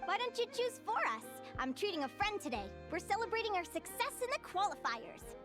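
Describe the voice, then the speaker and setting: High-pitched, earnest young fae girl. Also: very animated, a little fast, over music. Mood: playful. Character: cheerful young winged changeling girl, earnest and friendly. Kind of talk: English video game voice acting.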